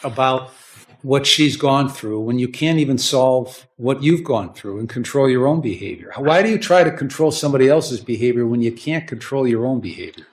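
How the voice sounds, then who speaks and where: A man's voice: mid-range voice, normal speed. An American man speaking as a judge in a courtroom, questioning and lecturing bluntly, repeating himself and often checking with "okay?".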